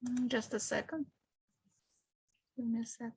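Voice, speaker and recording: A woman from Ukraine with a Ukrainian accent, presenting a webinar in a halting, explanatory way as an admissions manager. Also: on the high side, steady tone, breathy edge, no echo. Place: online video call with screen sharing.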